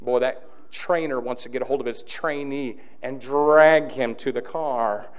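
A man pastor preaching an impassioned sermon, swinging from quiet, intimate passages to loud, shouted declarations. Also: medium pitch, measured pace. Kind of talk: fiery sermon.

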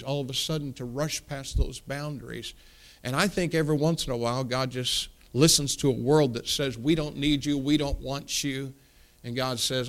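A man in his forties preaching a sermon, telling a folksy personal story and building to emphatic points. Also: medium pitch. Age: 40s.

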